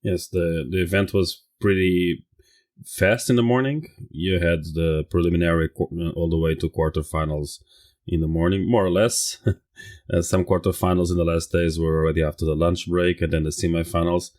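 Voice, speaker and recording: A man's voice: low-pitched, fairly even intonation, normal speed, darkish tone. A man hosting a podcast, welcoming and interviewing a guest over a video call. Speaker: podcast host. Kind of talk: casual podcast chat.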